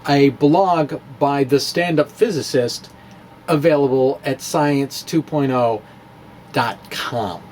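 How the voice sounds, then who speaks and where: A man giving a playful, self-mocking demonstration of math with clay and pipe cleaners. He often pauses and restarts while he works with his hands. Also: mid-range voice, a little mellow, slight background noise. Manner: wry, self-mocking, chuckles at own jokes. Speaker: physics blogger demonstrating math.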